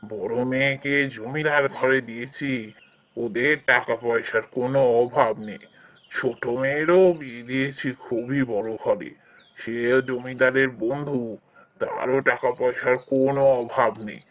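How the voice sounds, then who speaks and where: A man playing a kind, generous old village landlord in a cartoon fairy tale, explaining things in a measured way. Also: medium pitch, fairly even intonation, fairly bright tone, natural voice. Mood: benevolent, earnest.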